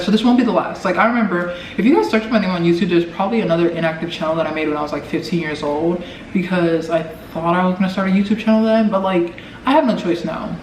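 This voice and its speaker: An eighteen-year-old man with an American accent, chatting casually and ramblingly to camera with lots of filler words. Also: high voice. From Omaha, Nebraska, United States. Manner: informal, rambling, heavy use of "like". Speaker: vlogger. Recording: echoey noisy room, distant mic.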